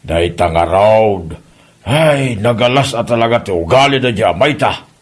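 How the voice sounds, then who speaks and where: A man performs a disapproving village neighbour in a husky voice that swings about in pitch. He has an Ilocano accent and plays it as comedy drama. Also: expressive, unhurried. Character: male neighbour hearing out village complaints, disapproving.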